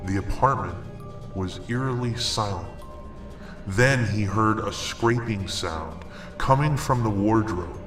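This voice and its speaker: A middle-aged man narrating a scary story in a deliberate, suspenseful, dramatic way. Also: fairly low pitch. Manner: slow, deliberate, suspenseful delivery. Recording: roomy echo, music underneath. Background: music.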